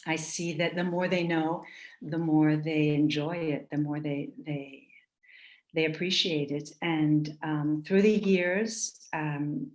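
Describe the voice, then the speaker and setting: A woman dancer speaking as a panel guest, explaining in a measured way with frequent pauses and ums. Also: sits low, steady tone, reverberant. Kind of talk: panel discussion.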